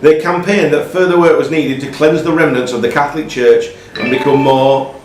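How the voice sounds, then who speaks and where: A man's voice: fairly high pitch, steady tone, fairly quick, distant mic. A man working as a quiz master, reading out questions and ruling on answers. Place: pub. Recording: roomy, echoing pub with music behind.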